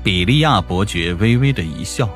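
A man narrating a fantasy novel as a scripted audiobook, in a dramatic, deliberate storytelling manner. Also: fairly low pitch, expressive, faint music behind.

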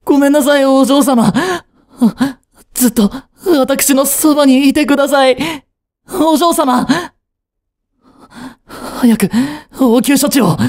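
音声ドラマで、丁寧な口調で感情の激しい、執着に狂っていく若い執事を演じる男性。. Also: male voice, extremely high pitch, takes it slowly, a little mellow, dry recording, studio quality. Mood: panicked, tearful. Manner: formal, polite servant speech, intensely emotional. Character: devoted young male butler turning obsessive and unhinged. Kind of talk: Japanese romantic situation voice drama.

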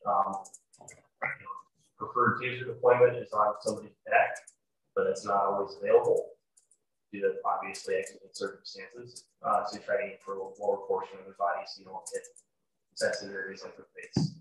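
A man's voice: fairly low pitch, little pitch movement, fairly quick. A young man testifying in court as a sheriff's deputy, formal and matter-of-fact. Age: young adult. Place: room at court, on video call. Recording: echoey, distant video-call audio with noise.

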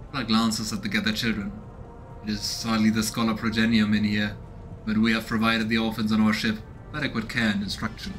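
A man's voice: fairly low pitch, fairly bright tone. Man playing a game, music behind. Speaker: let's play host. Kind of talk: casual game commentary mixed with read-aloud narration. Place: small room indoors at home.

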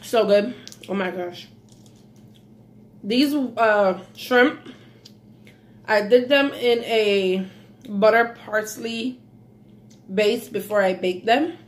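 A woman from Belize with a light Belizean accent chats slowly and unhurriedly as she eats on camera, pausing often for contented mm's. She sits at a table in a small room at home, recorded by a phone camera a short way off. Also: mid-range voice. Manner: slow, savoring, with frequent mm's while eating. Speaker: mukbang vlogger. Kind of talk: casual eating vlog.

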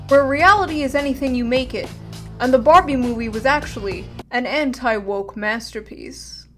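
A young woman giving a sarcastic video essay commentary, ironic and crude with bursts of mock outrage, close to a studio mic. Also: sits high. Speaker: online commentator. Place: small indoor room with tinsel backdrop. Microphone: large studio mic close in front.

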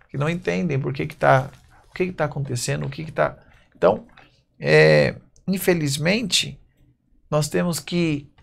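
A man in his thirties with a Brazilian accent, preaching a sermon at a church pulpit, reading verses slowly and then speaking in quick bursts. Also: on the high side, gravelly. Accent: Brazilian Portuguese. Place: church stage at a wooden pulpit.

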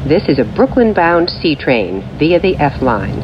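A woman delivers formulaic transit announcements in separate, measured phrases. Her voice is low but moves with a lively lilt. It is captured inside a train, slightly dulled, with some vehicle noise around it. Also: dull audio. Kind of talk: scripted automated train announcements. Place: recorded off speakers inside a subway train. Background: train ambience.

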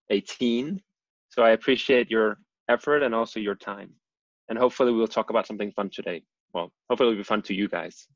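A man in his thirties from Denmark giving a casual, self-deprecating talk over a video call, often pausing with ums. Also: on the low side, gravelly, no echo. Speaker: guest presenter, engineering professor. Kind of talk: casual lecture. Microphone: computer mic on a video call. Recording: dull, lo-fi video call audio.

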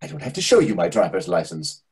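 A man's voice: sits low, very animated, quick pace, a little mellow, breathy edge, full character voice. A man playing a vain, catty, sarcastic waiter of about forty in a readers theatre comedy over a video call. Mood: indignant.

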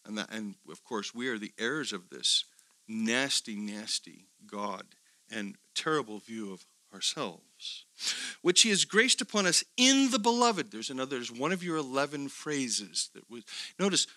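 A middle-aged man teaching a seminar, animated, asking his listeners questions and swinging between quiet asides and loud emphasis. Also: medium pitch, a little slow, no echo, poor audio. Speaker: theology teacher. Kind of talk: seminar lecture to a live group.

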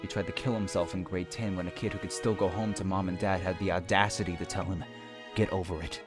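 A man giving a dramatic spoken-word poetry reading in an impassioned, theatrical and deliberate way. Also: sits low, fairly even intonation. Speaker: poetry performer. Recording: musical score under the voice. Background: music.

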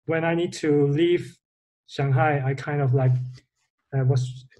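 A man's voice: fairly high pitch, steady tone, takes it slowly, dark tone, low-quality recording. A young man from the Netherlands, a guest on a video call from a bedroom, talking hesitantly and searching for words. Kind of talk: casual interview. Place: bedroom, indoors.

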